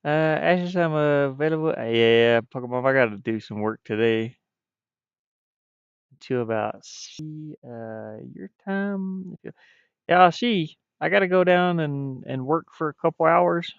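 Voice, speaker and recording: A man chatting casually on a livestream as a game developer, speaking in a halting way and often trailing off. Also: creaky, clear, resonant tone, dry recording. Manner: hesitant, halting, frequently trailing off. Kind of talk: casual stream chat. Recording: muffled, dull low-fidelity stream audio.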